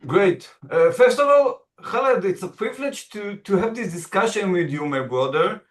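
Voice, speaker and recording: A man co-hosting an online panel discussion, measured and thoughtful, pausing and restarting as he works through his points. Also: high-pitched, animated, echoey room. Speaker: founder of a Middle East center, co-host. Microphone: video call.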